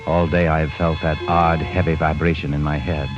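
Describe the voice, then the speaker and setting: Brief: a deep-voiced murderer, brooding journal narration, lo-fi old radio broadcast under music. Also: male voice, flat delivery, moderate pace. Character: obsessed male murderer writing his journal. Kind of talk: 1940s radio drama acting.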